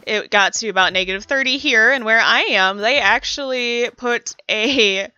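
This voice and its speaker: A woman's voice: fairly high pitch, bright, forceful tone, smooth, dry recording. A woman with a congested voice, getting over a cold, narrating a build video in a chatty way and talking straight to her viewers. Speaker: video game build creator. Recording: dull lo-fi voice-over with music behind.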